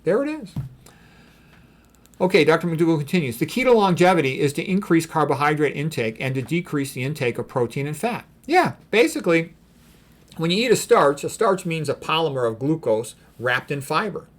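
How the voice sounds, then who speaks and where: A man's voice: fairly high pitch, sing-song, a little fast. A man giving an informal lecture in a blunt, opinionated, emphatic way, talking conversationally with rhetorical questions and frequent check-ins. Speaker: physician giving health commentary. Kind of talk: informal lecture, reading quotes and commenting.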